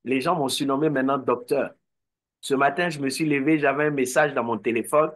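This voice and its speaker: A man's voice: mid-range voice, a little fast, fairly bright tone. A man giving a motivational talk to an online meeting, exhorting and repeating phrases. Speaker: network marketing speaker.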